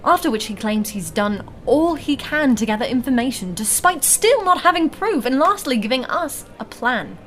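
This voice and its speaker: A woman playing a wary, analytical adventurer in a fantasy audio drama, reasoning in a measured, formal manner. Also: high voice, big pitch swings, a bit bright. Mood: suspicious. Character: analytical, wary female adventurer, composed and suspicious. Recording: music behind the voice. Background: music.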